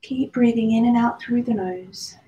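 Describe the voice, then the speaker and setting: A woman leading a guided meditation, speaking slowly and soothingly with long pauses. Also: a little high, dark tone, dull audio. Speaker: meditation and yoga teacher. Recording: roomy echo with faint background noise.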